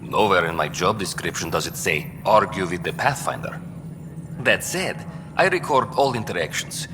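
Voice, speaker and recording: An actor playing a calm, formal male station assistant who speaks dryly and precisely. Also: low voice, moderate pace. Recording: game audio with long reverb and clear background noise.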